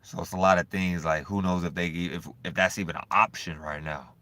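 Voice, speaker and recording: A man vlogging in a loose, profane, rambling monologue, swinging between animated bursts and low mumbling. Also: a little fast, no room sound. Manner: loose, profane and repetitive, full of "you know what I'm saying".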